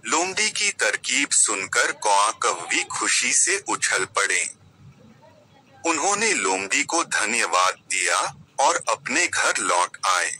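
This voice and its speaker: Slow, very bright male storyteller. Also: on the low side, poor audio. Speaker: storyteller, narrator. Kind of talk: scripted moral story narration for children.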